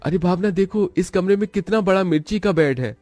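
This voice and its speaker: A man's voice: sits high, brisk, a little mellow, lightly acted. A man voicing a poor, earnest village farmer and family man in a cartoon story. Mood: astonished.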